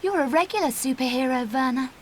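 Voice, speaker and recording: An actress playing a cheeky young adventurer with a British accent, speaking with dry, teasing sarcasm in a video game. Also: female voice, sits high, quick pace, slight background noise, close to an everyday voice. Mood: sarcastic, teasing. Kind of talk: scripted game dialogue.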